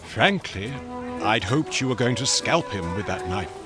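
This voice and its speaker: An actor playing a fussy, loyal English manservant in a radio drama, speaking with formal precision and exasperated politeness. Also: male voice, lowish voice, animated, unhurried, over music. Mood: wry, exasperated.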